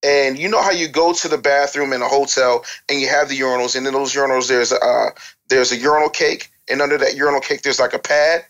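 A man's voice: mid-range voice, steady tone, quick pace, a bit bright, highs cut off. A man giving candid advice and telling anecdotes as a guest expert, speaking bluntly and emphatically with casual swearing. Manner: blunt, emphatic, profane, lecturing. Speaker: guest expert on music business and promotion.

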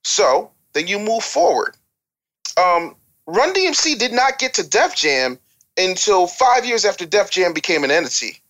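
Panelist, medium pitch, emphatic and lecturing, argues points through rhetorical questions. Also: big pitch swings, moderate pace, a bit bright. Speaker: talk show panelist. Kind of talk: opinionated music-industry discussion.

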